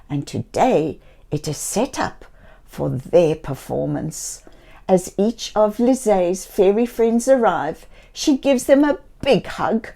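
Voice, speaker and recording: A woman reading a children's story aloud in a gentle, theatrical way, speaking directly to young listeners. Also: mid-range voice. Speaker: children's story reader and host.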